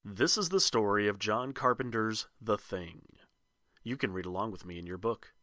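A man's voice: on the low side, moderate pace, sharp tone. A man giving scripted narration as a podcast host and storyteller. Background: faint music.

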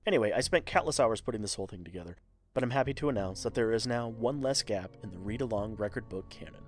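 A man's voice: fairly low pitch, lively intonation, fast. A man giving scripted narration as a podcast host and storyteller. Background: faint music.

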